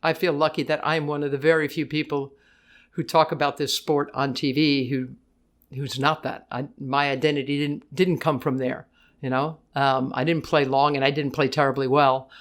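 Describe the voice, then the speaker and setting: An older woman with a deep voice, a guest in a casual interview, talking fast in bursts, often restarting her sentences and laughing easily. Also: level tone. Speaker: guest, tennis broadcaster.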